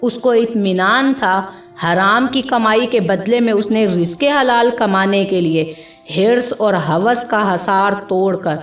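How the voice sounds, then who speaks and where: A woman reading a scripted moral story as a narrator, in a measured, dramatic storytelling manner. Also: mid-range voice. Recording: echoey voice with music behind.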